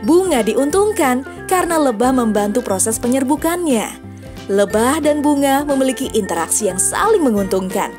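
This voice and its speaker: A woman's voice: high-pitched, animated, normal speed. A woman narrating a scripted science lesson for children, asking friendly questions as she explains. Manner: friendly questions to young listeners. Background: music.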